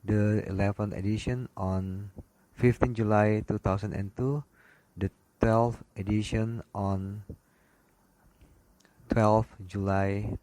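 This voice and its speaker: A man reading a slide lecture aloud as an instructor, in a halting, word-by-word manner with stumbles. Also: fairly low pitch, fairly even intonation, a little mellow, no room sound. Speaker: aviation lecturer. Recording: clearly noisy background, clicks.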